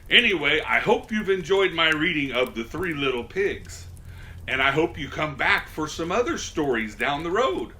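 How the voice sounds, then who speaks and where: A man's voice: fairly high pitch, big pitch swings, normal speed, pushed and bright. A man reading a children's story as Santa, in a folksy, theatrical way.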